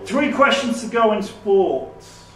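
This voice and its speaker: High-voiced quizmaster, distant and echoey. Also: male voice, very animated, takes it slowly, a crowd in the background. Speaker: quizmaster reading questions. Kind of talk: live quiz question reading and adjudicating. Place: echoey indoor room at a live quiz event. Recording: distant mic in echoey room, background noise.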